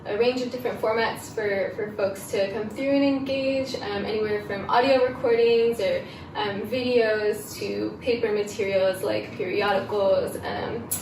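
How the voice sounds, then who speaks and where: A woman guest explains her work conversationally, a little tentative and halting. Her mid-pitched voice comes from some distance in a roomy space, with clear noise around it. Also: steady tone. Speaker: archivist, interview guest. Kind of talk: casual explanatory interview talk.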